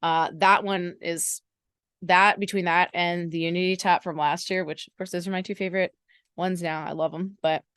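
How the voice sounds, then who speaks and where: Chatty young woman podcast co-host, smooth low voice. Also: level tone, moderate pace, fairly bright tone, no echo, clean studio sound. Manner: chatty and enthusiastic, playful teasing. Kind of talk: casual sports podcast chat.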